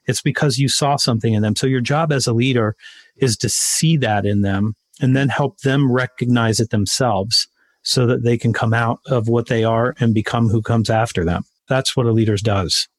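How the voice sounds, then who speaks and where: A man's voice: mid-range voice, moderate pace, no room sound. A man with a mid-range voice talks as a podcast guest, driving home his points with emphatic, motivational repetition. The recording is close and dry, with no room sound. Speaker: guest, sales author. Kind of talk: interview conversation.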